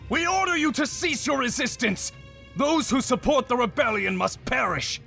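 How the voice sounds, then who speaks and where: A man voicing a masked, fanatical soldier barking orders in a video game, raspy and strained. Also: extremely high pitch, lively intonation, normal speed, bright, forceful tone, soft background music, heavily acted. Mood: fierce command.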